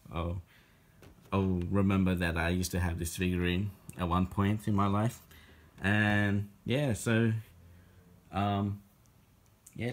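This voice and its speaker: A man showing off a boxed collectible figure in a casual home video, talking hesitantly with many ums and restarts, recorded on a handheld phone indoors. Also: on the low side. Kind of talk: casual unboxing vlog. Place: indoor room at a table.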